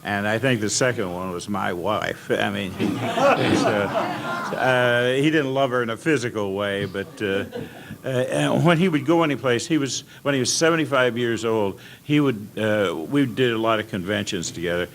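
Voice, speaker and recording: An older American man telling anecdotes as a guest on an informal panel, halting and rambling as he reminisces. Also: on the low side, lively intonation. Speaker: panel guest at old-time radio convention. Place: indoor convention panel room. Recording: clearly noisy convention room recording.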